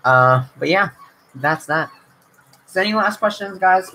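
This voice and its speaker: A man's voice: high-pitched, animated, measured pace, pushed and bright, a little hiss or hum, poor audio. A young man hosting his own channel, answering viewer questions in a casual livestream, rambling with lots of restarts. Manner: rambling, filler-heavy, frequent restarts. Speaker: YouTube channel host.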